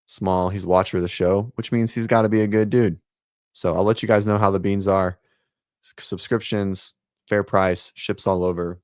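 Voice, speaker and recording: Male host, deep and raspy, loose rambling delivery full of fillers, dry home-office mic. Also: steady tone, moderate pace, darkish tone, no room sound. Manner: rambling, many ums and restarts. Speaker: host of a fitness livestream show. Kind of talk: casual livestream chat. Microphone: set-up studio mic.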